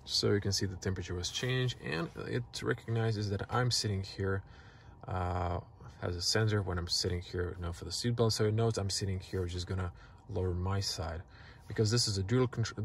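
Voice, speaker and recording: A very low, gravelly man whose first language is Croatian talks through a car's menus in a relaxed, halting way, with plenty of fillers. He sits inside a parked car, and a faint hum of ambience runs behind his voice. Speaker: car reviewer. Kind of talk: casual product walkthrough.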